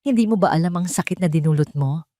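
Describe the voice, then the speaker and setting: A woman's voice: lowish voice, fast, warm tone, dry recording, studio quality, lightly acted. A woman voicing a hurt, angry young wife who accuses and pleads in a Tagalog audio drama. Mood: furious. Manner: accusing, pleading rhetorical questions.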